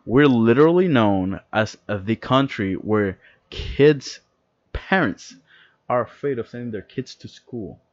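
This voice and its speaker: A young man from the Dominican Republic, co-hosting a podcast in casual chat, excitable and rambling, cursing freely. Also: mid-range voice, takes it slowly, no room sound, dull audio. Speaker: podcast co-host.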